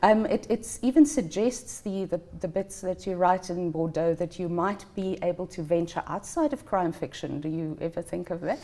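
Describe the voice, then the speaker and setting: A woman's voice: lowish voice, normal speed, hardly any pauses, dark tone. A woman hosting a book interview show, asking questions and giving short encouraging backchannels. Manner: friendly, with brief encouraging backchannels. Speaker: host. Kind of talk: interview conversation.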